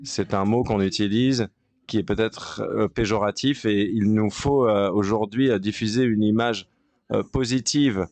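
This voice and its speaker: A man working as a conference interpreter, giving a halting simultaneous interpretation of a panel discussion with frequent pauses and restarts. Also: fairly low pitch, steady tone, darkish tone, no room sound.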